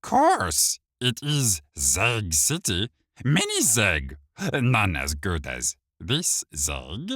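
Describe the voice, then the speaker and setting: A man's voice: on the low side, huge pitch swings, very slow, a bit bright, no echo, clean studio sound, pitched above the performer's own voice, heavily acted. A man voicing a tiny, spiteful red male imp who screeches in broken grammar, in a fantasy audiobook. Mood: boastful.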